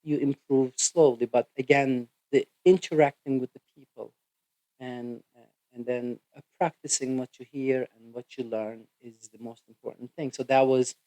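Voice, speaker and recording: A man from Turkey with a Turkish accent, speaking English as a second language. He is a physical therapist guest on a podcast, and he answers haltingly with many "you know" fillers. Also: medium pitch, steady tone, dark tone, no room sound, poor audio.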